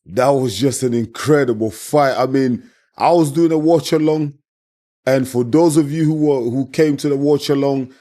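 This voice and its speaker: Hoarse man, mellow tone, passionate, repetitive casual talk. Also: medium pitch, moderate pace. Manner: passionate, repeats himself, keeps saying "man". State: hoarse, voice worn out from shouting. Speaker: boxing channel host. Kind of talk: casual post-fight reaction monologue.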